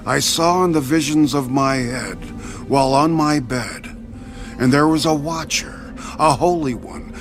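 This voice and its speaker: A slow, stately king. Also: mid-range voice. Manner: solemn, deliberate, stately. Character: proud king recounting a troubling prophetic dream. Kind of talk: dramatized scripture reading. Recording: clear music bed behind.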